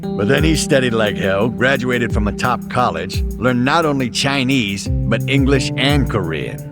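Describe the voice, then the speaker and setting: Gravelly, defensive street gangster. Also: male voice, medium pitch, lively intonation, measured pace, over loud music. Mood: explaining. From Japan. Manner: blunt, sarcastic, slangy. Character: cornered low-ranking gang member, defensive, foul-mouthed young man. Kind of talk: English video game dub acting.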